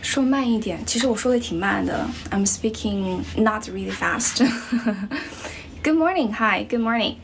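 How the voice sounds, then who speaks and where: A woman's voice: mid-range voice, moderate pace, vocal fry, noisy background. A young Chinese woman teaching English on a livestream, with a Chinese accent and a slight American tinge, chatting casually with lots of fillers. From Guizhou province, China. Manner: relaxed, chatty, many fillers like "you know" and "um". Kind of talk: casual livestream chat answering viewers.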